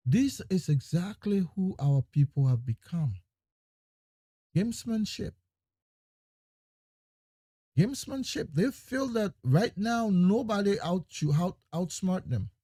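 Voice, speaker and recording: A man rants about politics with high pitch and a very dark, mellow tone. He keeps repeating his points, and his voice swings from loud to quiet. The sound is dry and close. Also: no echo. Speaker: political commentator. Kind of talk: impassioned political monologue.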